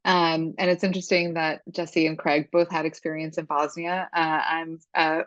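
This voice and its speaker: A woman's voice: low voice, level tone, normal speed, fairly bright tone, no room sound. A woman in her thirties, from Serbia and raised partly in the US, reflectively introducing herself as a panelist. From Serbia, later the US. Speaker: career panelist, executive director. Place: room at home, video call. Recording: slightly dull video-call audio.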